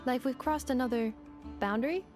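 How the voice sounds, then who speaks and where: A woman's voice: high voice, fairly quick, over music, lightly acted. A woman voicing a polite, analytical robot girl in a video game, speaking formally and earnestly as she explains. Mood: earnest, helpful. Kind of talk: video game character dialogue.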